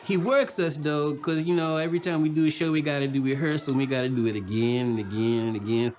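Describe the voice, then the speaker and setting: A man's voice: on the high side, steady tone, fast talker, darkish tone, a little hiss or hum, natural voice. A man voicing a chatty, grumbling puppet who talks in a folksy, conversational way. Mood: mildly grumbling. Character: chatty, grumbling young puppet named Tevin. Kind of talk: puppet character talk.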